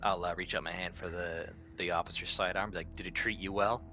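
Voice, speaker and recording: A man with a very low but bright, forceful voice talks casually as a player in a roleplaying game. The audio is a little dull, with faint music underneath. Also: fairly even intonation, brisk. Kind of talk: casual in-character table talk.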